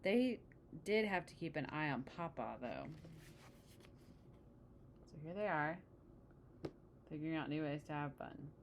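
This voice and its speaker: A woman reading a children's picture book aloud, pausing for chatty asides and questions to the listener. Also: deep voice, animated, a little hiss or hum. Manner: engaging, asks the listener questions. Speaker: storybook reader.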